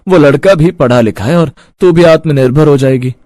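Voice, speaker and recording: A man's voice: fairly high pitch, a little fast, warm tone, natural voice. A man playing a caring middle-aged father, a humble rickshaw driver in a cartoon story, earnest and reassuring. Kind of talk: Hindi moral cartoon story acting.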